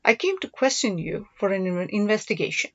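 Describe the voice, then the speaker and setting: A woman's voice: medium pitch, lively intonation, a little fast, muffled recording, natural voice. Woman putting on a formal, commanding old-world emperor. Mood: official, stern. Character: stern, formal disguised emperor posing as spy chief, fatherly.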